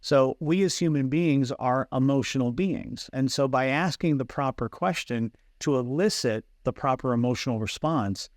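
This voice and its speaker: A man speaks in an earnest, teacherly way, often restarting his phrases. His voice sits in a middle pitch. The sound is close and dry, with no room around it. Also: dry recording. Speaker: guest, fragrance hypnosis coach. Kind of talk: explanatory interview talk. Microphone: video call.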